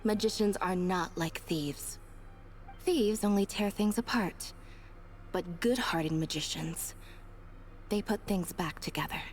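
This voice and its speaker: A woman playing a calm, composed, quietly wise young female magician's assistant in a video game. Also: fairly low pitch, takes it slowly, pauses often, soft background music. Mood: reflective.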